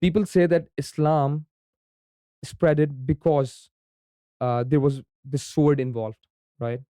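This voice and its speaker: Pakistani man explaining, mellow smooth voice. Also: on the high side, fairly even intonation, takes it slowly, no echo, studio quality. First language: Urdu. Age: young adult. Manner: frequent ums and repeated "right?" tags. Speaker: podcast guest. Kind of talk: conversational explanation.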